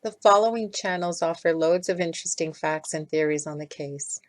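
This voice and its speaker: A woman's voice: low-pitched, normal speed. A woman reading a scripted critical commentary as a video essay narrator. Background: music.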